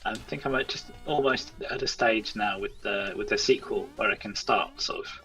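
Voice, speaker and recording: A man's voice: lowish voice, normal speed, bright, forceful tone, soft background music, poor audio. A man chatting casually as a guest game developer in an interview, modest and a little hesitant in his manner.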